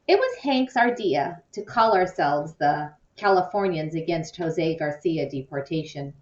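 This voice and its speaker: A woman reads a children's story aloud in an expressive storytelling style. Her voice sits a little low, and it comes through dull and roomy, at some distance from the microphone. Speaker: audiobook reader. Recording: muffled, roomy, distant from mic.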